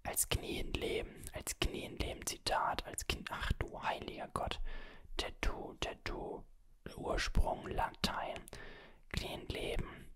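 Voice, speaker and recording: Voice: takes it slowly, fairly bright tone. A video host whispering the whole time while playing a word-guessing game, listing guesses, musing, and grumbling playfully at the computer. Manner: whispers throughout, guessing words aloud and musing. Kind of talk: whispered gaming commentary.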